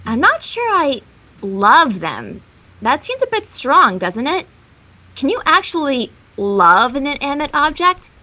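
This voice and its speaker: Woman, high bright voice, very lively intonation, playful, teasing, casual read-along. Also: unhurried, rough recording. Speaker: guest co-reader voicing character lines. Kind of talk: casual banter and fan fiction read-along.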